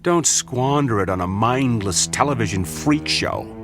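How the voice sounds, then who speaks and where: An actor playing a sceptical, principled doctor in a radio drama, with a dry, reproachful manner. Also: male voice, mid-range voice, expressive, measured pace, music in the background. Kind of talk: theatrical radio drama acting.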